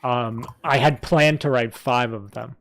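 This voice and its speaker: A man chatting casually as host about his audio stories, talking informally in an animated, slangy way. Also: mid-range voice, measured pace, creaky, a little hiss or hum. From Italian background. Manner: informal, animated talk peppered with "like", "dude" and "bro". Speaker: host, audio drama creator. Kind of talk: casual chat.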